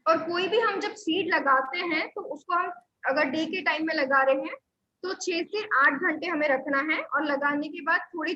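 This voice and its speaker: Woman, high voice, patient step-by-step teacher, echoey room, slightly dull recording. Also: bright, forceful tone. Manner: patient, repetitive step-by-step instruction. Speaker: Sujok therapy instructor. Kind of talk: live online health class.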